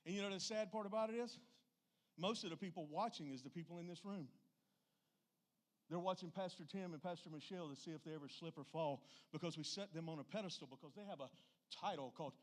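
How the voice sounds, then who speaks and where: A man's voice: a little high, fairly quick. A middle-aged man preaching an informal sermon, emphatic and folksy, often putting rhetorical questions to his listeners.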